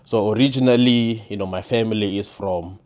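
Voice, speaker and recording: A man's voice: on the low side, a little fast, darkish tone. A man, a podcast guest chatting casually and often restarting and repeating words. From South Africa, KwaZulu-Natal. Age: 30s. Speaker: podcast guest, former defense attorney. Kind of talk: casual interview chat.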